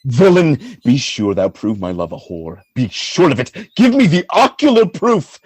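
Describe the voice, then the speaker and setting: A man playing a jealous, tormented general in a Shakespearean radio drama, husky and declamatory, swinging between hushed brooding and outcry. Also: mid-range voice, big pitch swings, moderate pace, dry recording. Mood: menacing, tormented.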